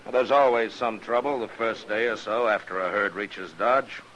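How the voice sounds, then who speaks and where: A man's voice: lowish voice, normal speed, a crowd in the background, close to an everyday voice, poor audio. An actor playing a firm, world-weary frontier lawman with a dry, blunt manner in an old-time western radio drama. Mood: firm, challenging.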